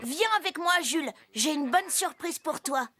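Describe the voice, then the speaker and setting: A woman's voice: sits high, animated, normal speed, crowd noise. A woman voicing a cheerful, helpful young male tractor in a children's cartoon, speaking in an eager, kindly way. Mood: kindly, reassuring.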